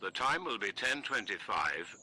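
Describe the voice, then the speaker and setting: A man playing a formal, measured speaking-clock announcer in a radio drama. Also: on the low side, big pitch swings, background noise. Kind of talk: formal telephone time announcement in a radio play.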